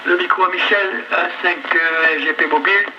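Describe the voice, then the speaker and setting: A man's voice: high-pitched, fairly even intonation, moderate pace, muffled recording. An older man chatting casually as an amateur radio operator over a two-way link. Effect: two-way radio transmission. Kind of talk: casual ham radio chat. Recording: very noisy VHF radio relay.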